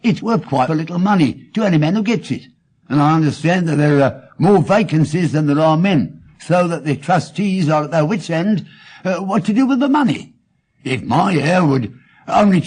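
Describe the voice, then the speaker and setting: A man voicing an eager, persuasive pawnshop assistant in an audiobook, with an excited manner. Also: on the high side, normal speed, breathy edge, pitched above the performer's own voice. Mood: eager, wistful.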